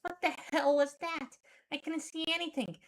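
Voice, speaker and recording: A woman's voice: sits high, steady tone, normal speed, pitched above the performer's own voice, poor audio. A woman voicing an exasperated, stubborn, sarcastic heroine who narrates a horror webcomic in the first person. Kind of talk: dramatic first-person comic readalong narration.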